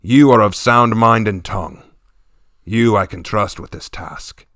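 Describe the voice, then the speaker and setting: A man voicing a stern, gruff warlord chieftain speaking to his daughters, grave and commanding. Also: lowish voice, a bit bright, no room sound, clean studio sound. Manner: commanding, grave, slow and weighty.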